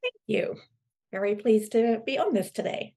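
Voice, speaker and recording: A woman's voice: on the high side, sing-song, moderate pace, dark tone. A middle-aged woman, answering conversationally as a podcast guest. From UK, countryside in Suffolk, England. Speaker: podcast guest, business executive.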